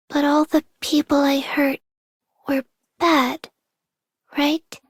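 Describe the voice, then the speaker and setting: Slow, high-voiced, childlike girl. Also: a little mellow, dry recording, studio quality. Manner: hesitant, simple, childlike questioning. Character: innocent, childlike girl, anxious and eerily naive. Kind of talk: game character voice acting.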